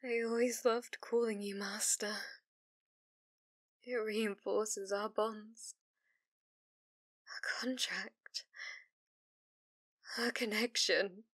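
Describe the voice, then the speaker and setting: A possessive female fox spirit, acted by a woman for an ASMR roleplay. She speaks very slowly and intimately, often sinking into breathy whispers. The sound is dry and close, as if she is right at the microphone. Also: mid-range voice, fairly even intonation, a bit bright, dry recording. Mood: wistful, yearning. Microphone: close studio microphone, dry.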